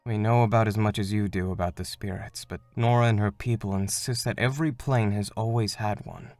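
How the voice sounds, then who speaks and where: A man playing a calm, earnest mentor of a spiritual order in an animated fandub, speaking in a measured, solemn way. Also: fairly low pitch, no echo, faint music behind, lightly acted. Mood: explanatory.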